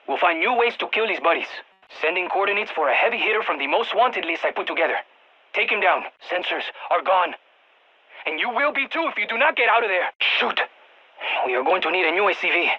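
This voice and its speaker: A man's voice: high voice, pushed and bright, breathy edge, lo-fi sound, exaggerated voice. A man playing an eager, geeky field scientist in a video game, giving precise technical briefings to soldiers over radio comms. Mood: urgent, wry. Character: excitable nerdy male field scientist guiding soldiers by radio. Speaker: mission-support scientist character in a shooter game.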